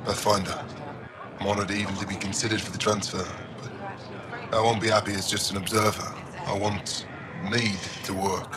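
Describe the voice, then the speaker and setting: An earnest, formal alien engineer acted by a man in a video game. His pitch barely moves, keeping him reserved and proud. Clear machinery-like ambience runs behind him. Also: level tone, moderate pace, noisy background, lightly acted. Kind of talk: video game dialogue acting.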